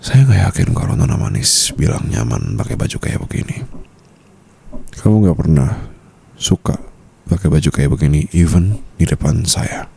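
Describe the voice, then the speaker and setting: A man's voice: a bass voice, creaky, mellow, a little hiss or hum, heavily acted. A man playing a possessive, teasing mafia boss husband in an intimate, murmured ASMR roleplay, with some English phrases mixed in. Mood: probing, possessive.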